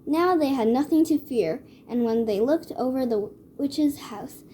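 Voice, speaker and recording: A woman reads a children's story aloud in a measured way. Her voice sits fairly high but has a dark, mellow tone. There is a faint noise behind her. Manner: measured read-aloud delivery. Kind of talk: scripted children's story narration.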